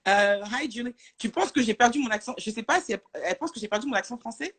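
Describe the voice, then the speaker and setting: A woman's voice: a little high, expressive, fast, fairly bright tone, husky. A woman from France, talking as a guest in a casual live interview, hesitant and full of fillers and restarts.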